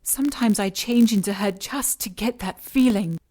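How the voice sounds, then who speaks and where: A woman's voice: medium pitch, moderate pace, dark tone, close to an everyday voice. A woman acting a cold, condescending scientist villain. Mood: rapturous. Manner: composed, clinical, condescending. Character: cold, obsessed female scientist and jaguar shapeshifter villain. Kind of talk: audio drama dialogue.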